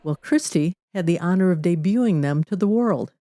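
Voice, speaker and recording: A woman's voice: fairly low pitch, expressive, normal speed, dark tone, slight background noise. A woman hosting, reading an upbeat promotional documentary narration as a voice-over. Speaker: host. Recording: voice-over with faint music.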